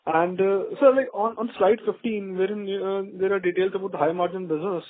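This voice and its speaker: A man with a high-pitched voice asks questions as an analyst on an earnings call. His manner is polite and deferential. He comes through a narrow telephone line. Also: steady tone, a little fast. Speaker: analyst caller. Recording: narrow radio-like phone line.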